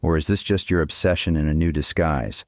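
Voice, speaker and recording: A man playing a steely middle-aged father, a ghost in an audio drama, speaking in a measured, admonishing way. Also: extremely low pitch, steady tone, no room sound, studio quality. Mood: stern, accusing. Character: hallucinated ghost of a father, steely, confrontational but gentle.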